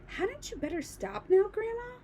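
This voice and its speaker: A woman's voice: extremely high pitch, sing-song, moderate pace, mellow, a little hiss or hum, voice pushed up. A woman voicing a worried young boy who pleads urgently with his grandmother.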